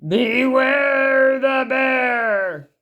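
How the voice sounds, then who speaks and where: Woman doing a big growly, booming hungry bear. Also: drawn-out words, dull audio. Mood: booming menace.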